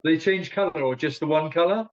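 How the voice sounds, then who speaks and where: A British band member making casual, friendly small talk on a video call, often restarting his sentences. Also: on the high side. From Britain. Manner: chatty, friendly, halting restarts. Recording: dull lo-fi call audio.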